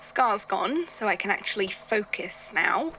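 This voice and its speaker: A woman's voice: mid-range voice, expressive, unhurried, creaky, very noisy. A woman playing an irritable, bookish male archivist in an audio drama, speaking hesitantly into a recorder and thinking aloud. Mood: pensive, dry. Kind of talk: audio drama narration, recorded case notes.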